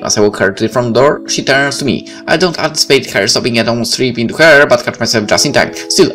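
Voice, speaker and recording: A male let's player reads visual novel text aloud. His delivery is animated and blunt, with a wide swing in pitch. Faint game music plays underneath. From Poland. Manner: expressive, blunt, swearing. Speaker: let's play host.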